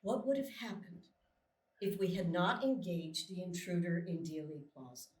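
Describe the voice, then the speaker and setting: A woman's voice: lowish voice, moderate pace, natural voice. Woman playing a blunt colonel, echoey stage. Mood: pointed, impatient. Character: blunt, probing female military colonel in command. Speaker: actor in a live table read. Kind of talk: dramatic script reading. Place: small live theatre stage. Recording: echoey theatre, far from the mic.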